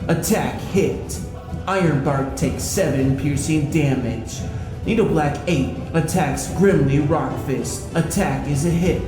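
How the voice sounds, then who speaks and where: A man acting as game master, giving scripted narration of a fantasy adventure. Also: on the high side. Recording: roomy echo, music behind. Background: music.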